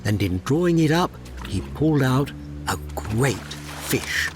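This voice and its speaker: A man narrating a fairy tale audio drama in a measured, dramatic storybook style. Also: mid-range voice, expressive, noisy background.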